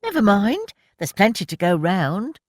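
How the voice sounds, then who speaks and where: A woman's voice: medium pitch, very animated, measured pace, no echo, studio quality, voice pushed up. A reader voicing Nan, a cheerful, formidable grandmother who calls out in a sing-song way. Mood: fussing.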